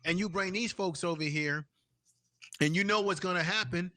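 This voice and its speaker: A man's voice: sits high, normal speed, bright, forceful tone, slight background noise. A man hosting a live stream, giving a heated, profane political rant. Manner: profane, angry, repetitive ranting.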